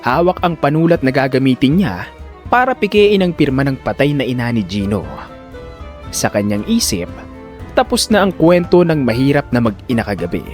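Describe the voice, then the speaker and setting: A man's voice: medium pitch, sing-song, normal speed, faint music behind. A man narrating a scripted audio drama in a dramatic storytelling style.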